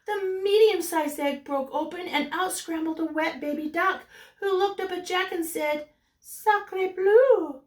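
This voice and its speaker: A woman reading a storybook aloud to young children as a teacher, with animated delivery and chatty asides. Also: very high voice, moderate pace. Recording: roomy echo, distant microphone.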